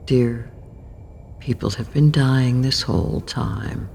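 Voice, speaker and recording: An actress playing a solemn, ageless female keeper of death in a horror audio drama, gentle but resolute, with a gravelly, deliberate delivery. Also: very deep voice, steady tone, slight background noise, heavily acted. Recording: faint music underneath.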